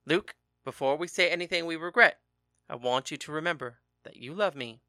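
A man voicing a calm husband who speaks in an even, placating way in a storybook reading. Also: on the high side, fairly quick, dry recording, clean studio sound. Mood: pleading. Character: calm, steady husband, a fighter and musician.

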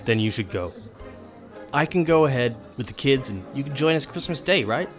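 A man's voice: medium pitch, lively intonation, normal speed, faint music behind, natural voice. A man playing a supportive but wounded middle-aged husband and father in an audio drama.

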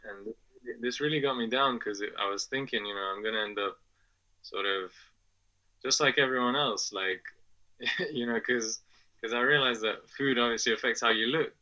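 A man's voice: medium pitch, normal speed, sharp tone, lo-fi sound. A man in his twenties from Afghanistan who grew up in England, answering as a podcast guest with many fillers and restarts. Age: 20s. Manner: hesitant, many fillers and restarts, laughs often. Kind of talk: casual interview answers.

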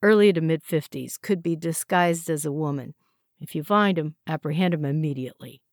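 A man's voice: a little high, moderate pace, no echo, clean studio sound, lightly acted. A woman voicing a tall male interrogating officer who speaks in curt commands. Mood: cold.

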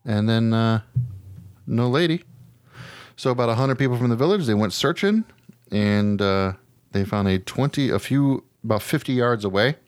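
A man's voice: mid-range voice, moderate pace, no room sound. A man hosting a podcast, chatting casually about odd news in a slangy, joking, profane way. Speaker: podcast host. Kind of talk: casual news chat with a co-host.

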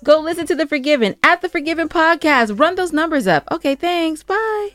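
A woman's voice: sits high, animated, brisk, a bit bright, dry recording, clean studio sound. A woman co-hosting a podcast, chatting casually and informally, with music playing behind her. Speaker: podcast co-host.

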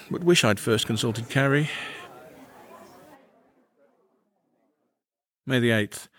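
A man playing a fussy, self-important Victorian English gentleman, narrating his comic diary with precise, earnest pomposity. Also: mid-range voice, dry recording, studio quality. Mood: fussy, aggrieved.

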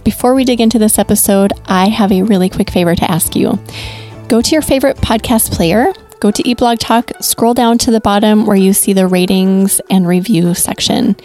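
A woman's voice: medium pitch, dark tone. A woman hosting a podcast, upbeat and encouraging, moving from a read intro into casual interview chat. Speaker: podcast host.

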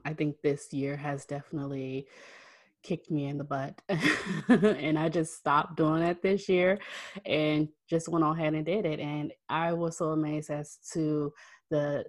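Low-voiced woman host, super enthusiastic, laughs a lot, dry close mic. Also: no echo. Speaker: podcast host. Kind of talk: casual interview chat.

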